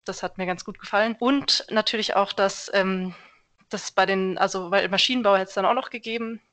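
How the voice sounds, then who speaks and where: A young woman from Germany, a civil engineer answering interview questions in a relaxed, thoughtful way with many small fillers. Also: mid-range voice, fairly quick. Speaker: podcast guest, civil engineer.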